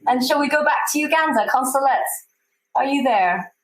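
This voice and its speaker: A woman moderating an online panel, calling on speakers with encouraging, gently hesitant prompts. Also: high-pitched, moderate pace, echoey room, poor audio. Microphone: video call.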